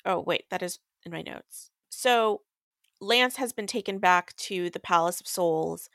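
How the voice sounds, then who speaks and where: A woman's voice: fairly low pitch, measured pace, fairly bright tone, no echo, studio quality. A young adult woman hosting a podcast, recapping a book in casual, irreverent chat with crude humor and swearing. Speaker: podcast host.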